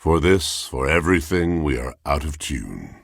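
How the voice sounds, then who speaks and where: An actor voicing a brooding, lovelorn poetic machine in a video game, with theatrical, solemn delivery and a gravelly voice. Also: male voice, rumbling low, very animated, moderate pace, dry recording, clean studio sound, full character voice. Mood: intrigued, solemn. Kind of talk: video game character acting.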